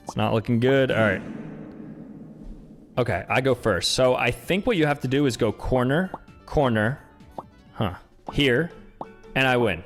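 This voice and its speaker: A young man hosting a casual gaming commentary, ranting in exasperation, with his voice jumping high when he is outraged. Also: unhurried, pauses often, faint music behind. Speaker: YouTube host.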